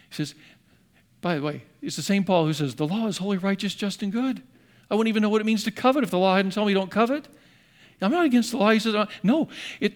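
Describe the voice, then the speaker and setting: A man preaching a sermon as pastor, animatedly voicing the apostle in first person with rising emphasis. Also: high voice.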